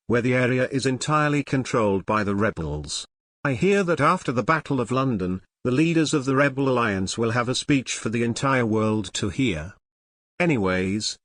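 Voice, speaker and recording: A man's voice: medium pitch, fairly bright tone, dry recording, clean studio sound. A man playing a TV field reporter once held captive, in a scripted fictional news drama. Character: male TV field reporter, rescued captive of the Empire.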